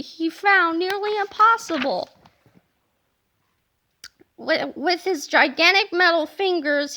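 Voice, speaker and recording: Very high, bright woman reading aloud. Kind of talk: expressive children's book narration.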